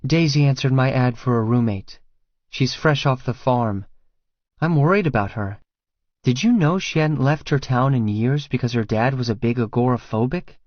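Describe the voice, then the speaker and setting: A woman's voice: extremely low pitch, normal speed, no room sound, muffled recording. A man voicing a hesitant, curious young woman in a romance audiobook, in a light, conversational way. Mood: concerned.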